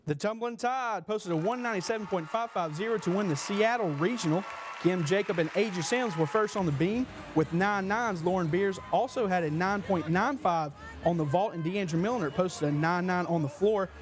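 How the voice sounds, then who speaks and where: High-pitched young man anchoring sports news. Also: normal speed. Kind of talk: scripted sports newscast. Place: small TV news studio. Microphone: lapel mic.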